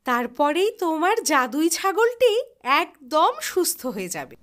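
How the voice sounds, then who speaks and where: A woman playing a kind, helpful magical fairy in a story, with a gentle, instructing manner. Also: high voice, very animated, unhurried, heavily acted.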